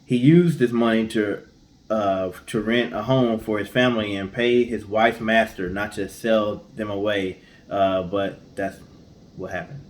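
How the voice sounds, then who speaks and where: A man's voice: lowish voice, steady tone, a little slow. A man co-hosting, presenting and telling stories in an informal, casual style. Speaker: co-host.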